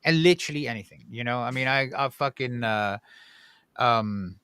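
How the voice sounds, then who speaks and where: A man who grew up in Egypt, a podcast guest talking casually in a blunt, profane, animated way. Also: medium pitch, moderate pace, clear, resonant tone, no room sound.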